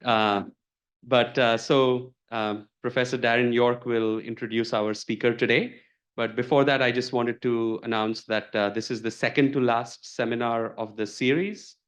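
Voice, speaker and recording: A man's voice: medium pitch, steady tone, moderate pace. A man organizing a seminar, giving casual housekeeping announcements with lots of fillers. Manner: casual, full of uh and um. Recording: dull lo-fi lecture recording.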